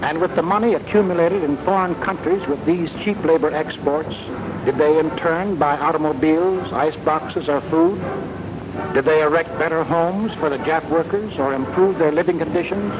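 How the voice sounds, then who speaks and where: A man's voice: on the high side, moderate pace, noisy background. An American man reading scripted 1940s propaganda film narration in an emphatic, declamatory way. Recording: dull 1940s film soundtrack, music behind.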